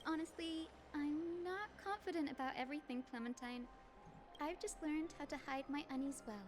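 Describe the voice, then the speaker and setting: A woman playing a gentle, selfless young healer in a game, speaking in an earnest, measured and formal way. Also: high-pitched, in a large hall, noisy background, close to an everyday voice. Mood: gentle, reassuring. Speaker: game character voice actor. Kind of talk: scripted game dialogue.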